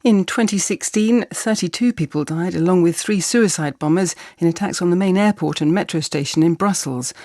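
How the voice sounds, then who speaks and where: A woman reading scripted radio news in a measured, formal manner. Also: on the low side, warm tone, dry recording, studio quality. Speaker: newsreader.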